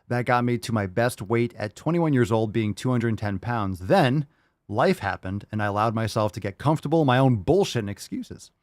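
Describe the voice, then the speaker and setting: A man's voice: mid-range voice, lively intonation, moderate pace, no room sound, clean studio sound. Hyped, foul-mouthed podcast host man. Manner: hyped, profane, encouraging. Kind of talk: casual podcast chat reading listener posts.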